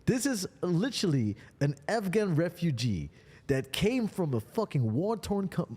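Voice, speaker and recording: A man's voice: high-pitched, very animated, measured pace, mellow, breathy edge. Fired-up male host with long reverb. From the Netherlands. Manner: emphatic and fired up, swears for emphasis. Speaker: podcast host. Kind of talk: hyped motivational podcast chat.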